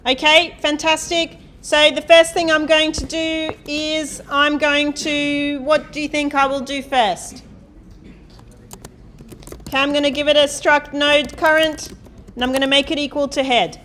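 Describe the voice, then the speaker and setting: Woman, high-pitched, loud voice, university lecturer, live coding, asks the class questions. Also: level tone, normal speed, a little hiss or hum. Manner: chatty, asks the class questions, thinks aloud while typing. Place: lecture hall.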